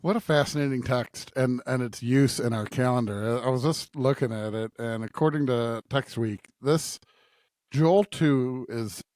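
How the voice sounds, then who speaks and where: Mid-pitched male co-host, very dry sound. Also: moderate pace, darkish tone, no echo. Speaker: podcast co-host. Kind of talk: casual Bible discussion.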